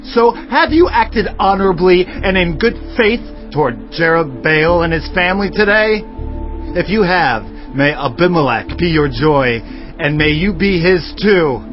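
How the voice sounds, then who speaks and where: An actor playing an indignant young brother addressing a crowd in a solemn, declamatory way in a dramatized Bible audio production. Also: male voice, fairly high pitch, expressive, measured pace. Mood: accusing. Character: Jotham, indignant youngest son reproaching a crowd with a parable. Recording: dull lo-fi sound, music behind.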